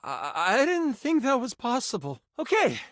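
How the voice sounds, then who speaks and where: Nerdy excitable video game dice master, high voice, super animated dramatic narration, really dry sound. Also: male voice, normal speed, no echo, exaggerated voice. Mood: amazed, delighted. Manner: theatrical, expressive, shifts between grand narration and casual asides.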